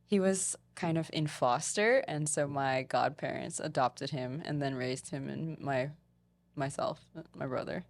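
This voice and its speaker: A woman's voice: low voice, measured pace. A young adult woman speaking as a guest in a casual, conversational debate.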